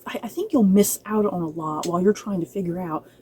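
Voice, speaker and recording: A woman's voice: lowish voice, a little fast, mellow, a little hiss or hum. A woman giving a casual, conversational review to viewers, hesitant at times but emphatic about her opinions. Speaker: book and film reviewer.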